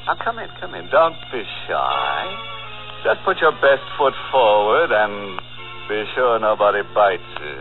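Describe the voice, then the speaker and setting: Low, ghoulish horror radio host. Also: male voice, big pitch swings, a little slow. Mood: ghoulish welcome. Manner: sardonic, macabre, theatrical chuckling delivery. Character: ghoulish male horror host, gleefully morbid, mock-friendly. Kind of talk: 1940s radio horror hosting. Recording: muffled old radio broadcast, organ music behind.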